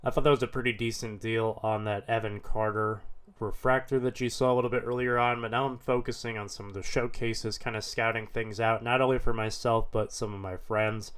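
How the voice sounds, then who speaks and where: A man with a head cold, slightly hoarse, narrating a vlog in a relaxed, matter-of-fact way. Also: fairly low pitch, brisk. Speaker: card dealer vlogger.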